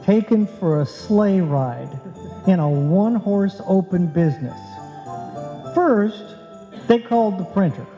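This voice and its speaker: An actor playing a wry, long-suffering husband who narrates with puns in a live old-time radio comedy. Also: male voice, sits high, lively intonation, slow, lo-fi sound, exaggerated voice. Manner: punning, wry storytelling. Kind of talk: scripted comic radio narration. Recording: echoey live hall, music behind.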